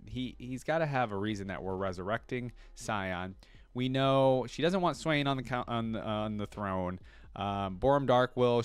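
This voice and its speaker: A young man hosting a reaction video, reading a fan wiki out loud and thinking aloud about it with plenty of fillers and restarts. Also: on the low side, no echo. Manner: reads out wiki entries, then breaks off with excited exclamations.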